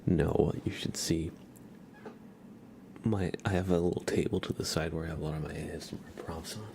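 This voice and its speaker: A man with a very low, creaky voice hosts a casual ASMR stream. He speaks softly and often drops into a whisper, with clear noise in the recording. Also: normal speed. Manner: hushed, often slipping into whispers. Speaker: ASMR livestream host. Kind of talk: casual soft-spoken ASMR stream chat.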